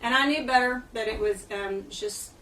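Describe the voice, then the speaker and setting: A woman's voice: a little high, normal speed, fairly bright tone, distant mic, slight background noise, low-quality recording. A woman fitness trainer speaking as a radio guest, earnest and chatty with many fillers, still getting over a lost voice. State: recovering from lost voice. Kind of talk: casual radio talk. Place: radio studio.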